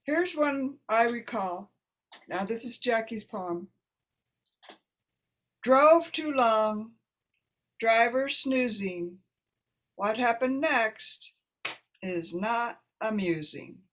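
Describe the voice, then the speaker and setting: A woman informally hosting a poetry reading and introducing poets in a halting manner. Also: a little high, lively intonation, a bit bright. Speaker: host of a poetry reading event.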